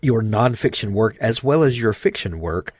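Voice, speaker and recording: A man's voice: lowish voice, expressive, moderate pace, darkish tone, breathy edge, no echo, studio quality. A man interviewing an author, asking a long question with many fillers and restarts.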